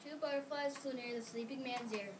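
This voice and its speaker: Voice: high-pitched, steady tone, a little fast. A child of about nine or ten reading scripted narration for a school readers theater. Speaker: student narrator. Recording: noisy room, distant microphone.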